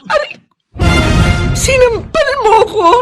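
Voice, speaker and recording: High-pitched, anguished wife. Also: female voice, lively intonation, over music, full character voice. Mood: anguished, crying. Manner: emotional, tearful, drawn-out delivery. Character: anguished, pleading young wife and mother of principle. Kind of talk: Filipino radio drama acting.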